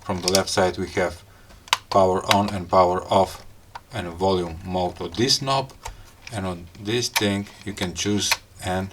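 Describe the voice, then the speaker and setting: A man with a slightly low voice walks through a product unboxing at a very slow pace. His delivery is casual and halting, broken into small pieces as if talking to himself. Some background noise sits behind the voice. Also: steady tone. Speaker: gadget reviewer, host.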